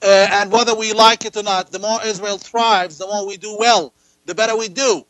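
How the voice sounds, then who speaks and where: A man from Jordan, answering as an interview guest in halting phrases with repeated words. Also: high voice, fairly even intonation, fairly bright tone, no room sound, rough recording. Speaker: interview guest, political activist and writer.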